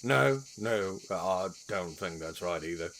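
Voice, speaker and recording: A man's voice: deep voice, lively intonation, takes it slowly, a bit bright, slight background noise, full character voice. A man playing a brash, playful monkey-folk warrior who likes his drink, crude and slangy, in a fantasy audio drama. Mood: casual, curious.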